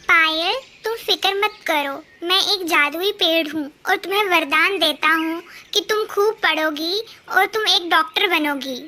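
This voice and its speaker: A woman voices a magical talking tree in a cartoon, kindly and reassuring. It speaks slowly in a very high, bright voice. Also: heavily acted. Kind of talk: children's cartoon dialogue.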